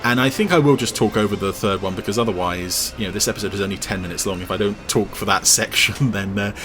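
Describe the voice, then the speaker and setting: A man's voice: lowish voice, fast, music in the background. A man giving casual, chatty and slightly wry commentary over a cartoon for a podcast.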